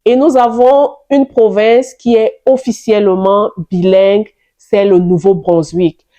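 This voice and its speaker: A French-speaking woman of middle age talks slowly and carefully to her viewers, laying out advice step by step. She keeps returning to her key points, with a voice of middling pitch and an unhurried pace that leaves room between her phrases. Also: steady tone, mellow. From immigrant to Canada, francophone. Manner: slow, deliberate, explanatory, repeats key points. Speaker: vlogger giving immigration advice. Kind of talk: advice vlog talking to camera.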